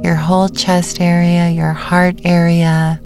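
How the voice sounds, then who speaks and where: Female meditation guide, low register, slow and gentle delivery with pauses, faint music bed. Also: monotone. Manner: unhurried, gentle instruction with pauses. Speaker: meditation teacher.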